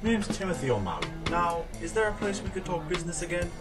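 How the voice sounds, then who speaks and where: An actor playing a smooth-talking, threatening Irish gangster enforcer in an old-time radio drama. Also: male voice, sing-song, fast talker, music in the background. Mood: menacing. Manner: smooth-talking, threatening, drops to a low growl. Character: menacing Irish gangster enforcer, middle-aged man.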